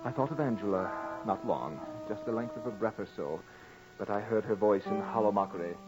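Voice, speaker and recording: An actor playing a panicked, guilt-ridden murderer, narrating a horror radio drama in a melodramatic way with dramatic pauses. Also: male voice, on the low side, close to an everyday voice. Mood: tense, brooding. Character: guilt-ridden, panicked male murderer confessing his crime. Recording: muffled vintage radio recording with music.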